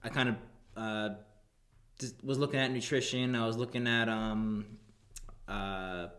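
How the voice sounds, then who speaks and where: A man in his thirties, a personal trainer and coach, talking as a podcast guest in a relaxed, rambling way with many fillers. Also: mid-range voice, unhurried. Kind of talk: casual podcast chat.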